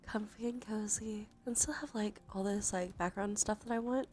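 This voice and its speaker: A soft-spoken woman chatting on a cozy ASMR livestream, with a creaky voice that often slips into a breathy whisper. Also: mid-range voice, fairly even intonation, moderate pace. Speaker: streamer.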